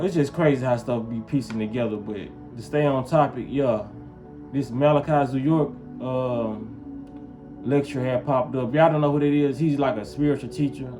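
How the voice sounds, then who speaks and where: A man from Memphis talking casually to his viewers, rambling and often checking in with them. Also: mid-range voice. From Memphis, US South. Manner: rambling, keeps asking "you feel what I'm saying". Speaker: vlogger. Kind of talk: casual talk to camera.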